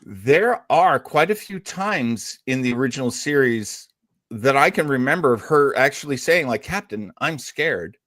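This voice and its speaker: A man's voice: medium pitch, expressive, measured pace, a bit bright, dry recording, clean studio sound. A man hosting a casual talk show discussion, emphatic and given to repeating phrases to make his point. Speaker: podcast host.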